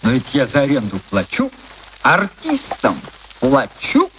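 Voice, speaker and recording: An actor playing a small, despairing theatre impresario in a radio drama, lamenting theatrically in a thin, reedy tenor. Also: male voice, medium pitch, wildly swooping pitch, measured pace, fairly bright tone, a little hiss or hum, rough recording. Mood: indignant complaint. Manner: lamenting, dramatic, self-pitying.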